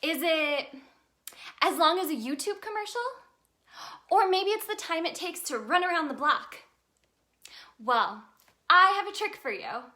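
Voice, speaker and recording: A woman reading a children's picture book aloud as a storyteller, in an expressive, sing-song manner aimed at young children. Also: high-pitched. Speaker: storyteller in character as the Tooth Fairy.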